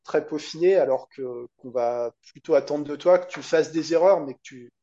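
Higher-voiced French man, slightly dull sound. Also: normal speed, dark tone. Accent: French from France. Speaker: podcast host. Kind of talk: casual conversation.

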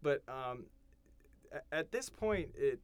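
Man, podcast host, rambling, casual chat, mid-pitched voice. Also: lively intonation, slow, smooth. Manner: rambling, restarts often, thinks aloud. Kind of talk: casual chat recapping a TV series.

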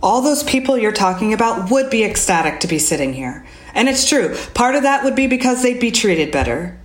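A woman's voice: on the high side, sing-song, a little fast. A woman playing an idealistic, fired-up queer teenage activist with an American accent, speaking emphatically and casually in an audio drama. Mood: earnest, reassuring. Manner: emphatic, earnest, casual swearing. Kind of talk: naturalistic audio drama dialogue. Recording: roomy, echoey space.

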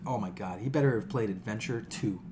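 A man's voice: on the low side, a little fast, noisy background. A man co-hosting a casual gaming podcast, joking in crude, sweary slang. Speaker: podcast co-host.

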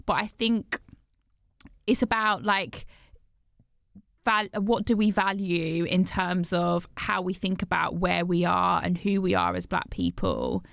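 A woman from the West Midlands in a casual discussion, animated and playful, quick to tease and laugh. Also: lowish voice, creaky, dry recording, clean studio sound. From West Midlands, England.

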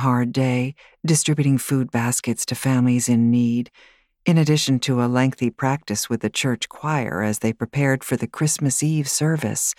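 A woman narrating an audiobook story in an expressive, measured storytelling style. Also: rumbling low, no room sound, clean studio sound. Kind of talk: scripted fiction narration, storytelling. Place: dry studio.

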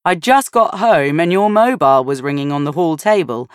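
A woman's voice: deep voice, lively intonation, normal speed, no echo, studio quality, pitched above the performer's own voice. Audiobook role: affectionate young husband and father, played by a woman, breezy, projected, playfully teasing. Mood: cheerful, affectionate.

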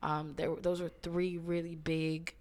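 A thirty-year-old woman with a hoarse voice, co-hosting a podcast in relaxed, slangy chat with frequent ums. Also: deep voice, slow pace. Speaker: podcast co-host. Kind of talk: casual chat.

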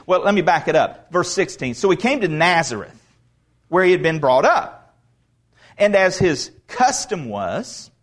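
A man preaching a sermon in a church, talking casually with asides and restarts, then reading scripture aloud and building to loud proclamation. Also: a little high. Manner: reads scripture aloud slowly. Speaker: pastor. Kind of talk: expository sermon.

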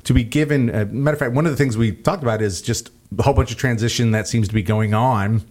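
A man's voice: mid-range voice, fast, darkish tone. A man hosting a casual conversational interview, speaking informally and circling his way around questions. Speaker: interviewer and host.

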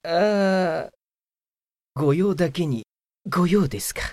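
A woman's voice: lowish voice, takes it slowly, darkish tone, no room sound, clean studio sound. A woman playing a hapless, grumbling veteran male office worker who narrates his own comic audio drama in a whiny, self-important way. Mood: flustered. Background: prominent background music.

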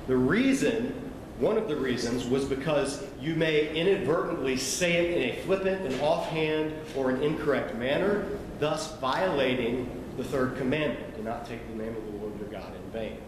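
A man's voice: mid-range voice, moderate pace, hardly any pauses. Man, sermon, conversational teaching, very echoey hall, noisy room ambience. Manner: conversational, explanatory, asides to listeners. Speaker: preacher.

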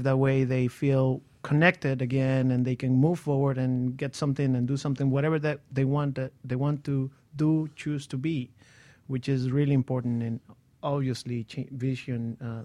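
A man co-hosts a radio talk show and works as a coach. He speaks haltingly, often stopping to search for the right word. His voice sits in the middle range for a man. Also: mid-range voice, a little mellow. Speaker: co-host, coach.

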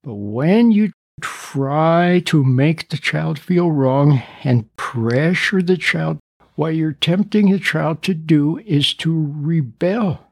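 A man's voice: fairly high pitch, expressive, slow pace, gravelly, dry recording, clean studio sound. A stuttering man in his seventies. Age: 70s. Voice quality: stutters at times. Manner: earnest, preachy, repeats and rephrases points. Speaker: speaker on parenting. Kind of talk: recorded talk addressing parents.

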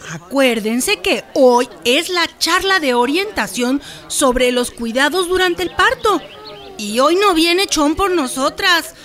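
A bossy village woman in a Mexican radio drama. Her voice is high, and she talks in a brisk, impatient, instructive way with a Mexican accent. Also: expressive, fairly bright tone, full character voice. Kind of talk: Spanish-language radio drama acting.

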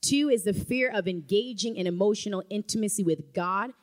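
A woman's voice: medium pitch, fairly quick. A woman preaching a sermon in a church, emphatic and rising to fervent calls of amen. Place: church sanctuary.

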